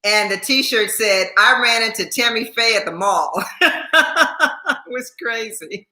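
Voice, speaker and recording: A woman's voice: fairly high pitch, expressive, takes it slowly, bright, forceful tone, reverberant. A woman in her sixties chatting casually on a livestream, repeating herself and laughing often while thanking viewers by name. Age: 60s. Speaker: YouTube host.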